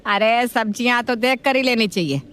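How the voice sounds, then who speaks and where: A woman playing a doting, gullible grandmother with a homely, indulgent manner in an animated family story. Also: on the high side, normal speed, clean tone, close to an everyday voice. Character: kindly, gullible, doting grandmother and mother-in-law.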